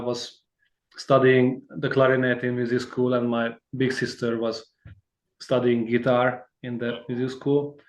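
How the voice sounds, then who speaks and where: Chatty man, roomy sound. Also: medium pitch. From Hungary. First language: Hungarian. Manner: rambling and friendly, many fillers and restarts, laughs easily. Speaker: guitarist, interview guest. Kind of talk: casual interview chat.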